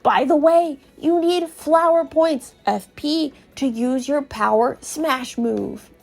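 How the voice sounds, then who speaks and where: A woman's voice: sits high, takes it slowly, creaky, a little mellow, soft background music, exaggerated voice. A woman voicing a shriveled old male Goomba professor from a video game, explaining things in a fussy, cautious way. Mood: instructive. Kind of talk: video game character read aloud.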